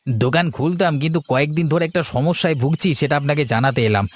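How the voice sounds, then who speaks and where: A man's voice: a little high, quick pace, lightly acted. A man voicing a timid, deferential village shopkeeper in a cartoon ghost story. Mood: worried. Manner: nervous, deferential. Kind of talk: animated ghost story dialogue.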